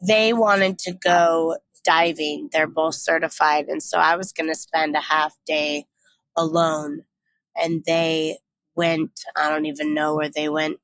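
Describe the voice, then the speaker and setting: Young woman, from california, chatty travel vlog, slightly low voice, reflective. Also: little pitch movement, measured pace, a bit bright, poor audio. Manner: chatty, reflective, many "like"s and restarts. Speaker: travel vlogger. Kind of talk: casual storytelling to camera.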